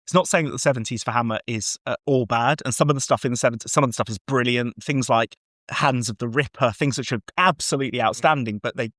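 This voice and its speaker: A man's voice: medium pitch, fairly bright tone, no echo, clean studio sound. A man chatting casually and enthusiastically about films as a podcast co-host, with some swearing. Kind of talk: casual film discussion chat.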